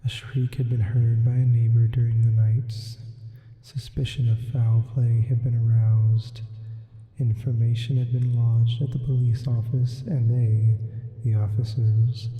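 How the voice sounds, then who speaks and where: A man voicing a sleep paralysis demon reading a horror confession in a hushed, often whispered ASMR style with added reverb. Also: medium pitch, little pitch movement. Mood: hushed, sinister. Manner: slow, hushed, deliberate. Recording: muffled, heavy reverb.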